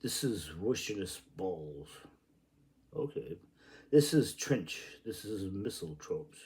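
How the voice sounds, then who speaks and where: A man's voice: low-pitched, very animated, slow pace, darkish tone. A man reading literary prose aloud in a theatrical, playful and exclamatory way. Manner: playful, exclamatory delivery with sudden outbursts. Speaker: reader.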